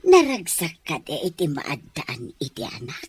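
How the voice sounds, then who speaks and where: Husky, swooping motherly older housemaid played by a woman. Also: sits low, wildly swooping pitch, unhurried, dry recording, full character voice. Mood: cheerful, teasing. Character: cheerful older mansion housemaid, motherly and teasing. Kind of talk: Ilocano audio drama acting.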